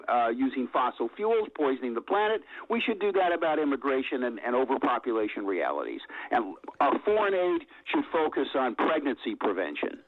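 An older man calling in to a radio talk show, blunt and opinionated, with halting restarts. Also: mid-range voice. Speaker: caller. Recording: tinny phone line.

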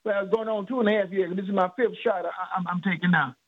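A man in his seventies calling in to a radio health show, talking haltingly with many stammered restarts. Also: high voice, steady tone. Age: 70s. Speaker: caller. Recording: tinny phone line.

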